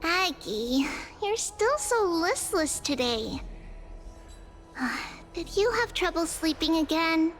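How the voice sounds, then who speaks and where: A woman playing a small, childlike, caring companion in a video game, soft and comforting. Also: very high-pitched, big pitch swings, slow, faint music behind, exaggerated voice. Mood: tender concern.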